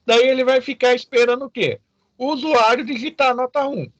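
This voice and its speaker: A man's voice: very high voice, fairly even intonation, fairly quick, no room sound, lo-fi sound. A Brazilian man giving an informal, didactic lecture as a programming teacher, often checking in with short questions to his students.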